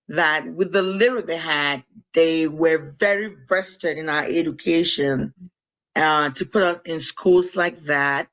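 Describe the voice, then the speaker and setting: This is a woman from Cameroon with a Cameroonian accent, speaking as a podcast guest. She talks at a slow, unhurried pace. Her manner is earnest and reflective, with small hesitations as she thinks aloud. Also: deep voice, fairly bright tone. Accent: Cameroonian English. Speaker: podcast guest, nurse and author. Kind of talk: casual conversational interview.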